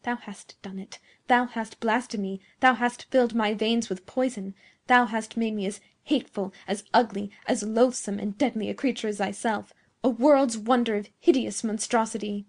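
A woman's voice: a little high, steady tone, unhurried, few pauses. A woman voicing an enraged, venomous young man in archaic, declamatory speech for a dramatic storybook reading. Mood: furious scorn. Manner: archaic, declamatory, forceful outbursts. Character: enraged young man, venomous and scornful toward his lover.

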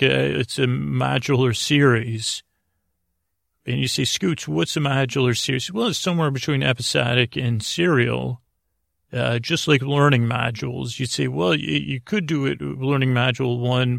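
Man, middle-aged, extremely creaky gravelly voice, medium pitch, halting bedtime rambling, self-interrupting, podcast host. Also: a bit bright, no echo, clean studio sound.

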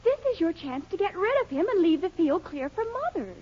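A woman's voice: very high voice, very animated, brisk, darkish tone. An actress playing an eager, scheming, peppy young woman in a 1940s radio comedy. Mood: excited, scheming. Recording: muffled 1940s radio recording.

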